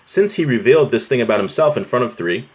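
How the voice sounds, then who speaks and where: A man's voice: medium pitch, lively intonation, quick pace, a little mellow. A man teaching an informal religious class, reading from a text and explaining it conversationally, often checking in with his listeners.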